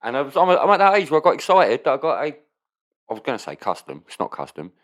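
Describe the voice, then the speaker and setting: A man's voice: medium pitch, huge pitch swings, fast talker, fairly bright tone. A man, co-hosting a podcast in casual, rambling banter full of swearing and rhetorical questions. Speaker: podcast co-host.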